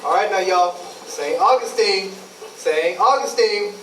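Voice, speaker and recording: A man's voice: high-pitched, slow pace. A man playing a folksy, showy announcer in a staged play, declaiming in Southern folk dialect. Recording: roomy, distant mic, faint noise.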